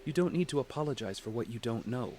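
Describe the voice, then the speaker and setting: An actor playing a loving, proud father in an audio drama, speaking gently and reassuringly with affection. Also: medium pitch, lively intonation, fast, noisy background, lightly acted. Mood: tender, reassuring.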